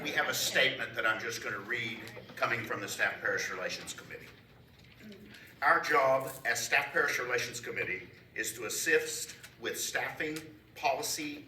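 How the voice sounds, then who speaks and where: A man with a low, very bright voice reads a prepared committee statement at a church council meeting in an upbeat way. He pauses between phrases. The mic is far off in an echoey room with a faint hiss. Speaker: committee member giving a report.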